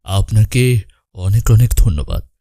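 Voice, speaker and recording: A man's voice: lowish voice, animated, a little slow, mellow, no room sound, studio quality. A man voicing a kindly, grateful and pious widower in cartoon dialogue. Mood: grateful, sincere.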